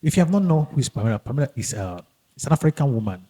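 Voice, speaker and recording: A man hosting a podcast in casual, halting and emphatic speech, often repeating himself. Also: mid-range voice, big pitch swings, mellow, slightly rough. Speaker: podcast host. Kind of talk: casual podcast introduction and interview chat.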